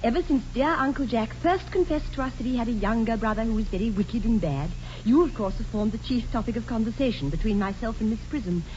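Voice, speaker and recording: A woman's voice: a little high, a little fast, hardly any pauses, a little mellow, close to an everyday voice. A woman playing a sweet, prim, self-assured young English ward in a 1940s radio comedy, with an upper-class accent and a genteel, precise delivery. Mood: dreamy, earnest. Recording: dull, lightly hissy 1940s radio recording.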